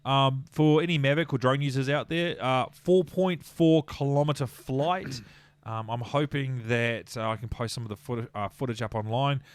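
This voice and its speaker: A man's voice: mid-range voice, clear, resonant tone, no echo. A man with a New Zealand accent co-hosting a tech podcast, chatty and jokey. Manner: chatty, jokey, quick asides. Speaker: podcast co-host. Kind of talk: casual tech chat.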